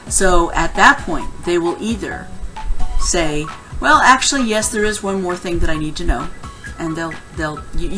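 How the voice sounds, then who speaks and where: A woman giving instructional career advice in a conversational, emphatic way, like a coach speaking to viewers. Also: lowish voice. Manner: conversational, emphatic, acts out example lines. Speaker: career coach presenting interview tips. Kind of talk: instructional advice talk to camera.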